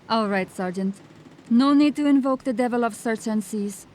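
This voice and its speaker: A woman playing a cocky, smooth-talking female ship captain who is breezy and evasive with the law. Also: fairly high pitch. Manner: breezy, evasive, gently mocking.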